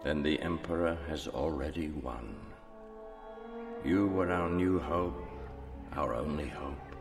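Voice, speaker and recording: An actor playing a grave old mentor's spirit in a radio drama, speaking in a measured, formal, admonishing way with ghostly reverb. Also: male voice, a bass voice, heavily acted. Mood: stern warning. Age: older. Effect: long ghostly reverb. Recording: long reverb, music behind.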